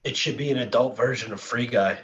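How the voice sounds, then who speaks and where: A man's voice: mid-range voice, brisk, fairly bright tone. A man co-hosting a podcast in casual, opinionated banter, swearing freely. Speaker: podcast co-host. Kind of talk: casual banter among friends. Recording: dull lo-fi audio with background noise.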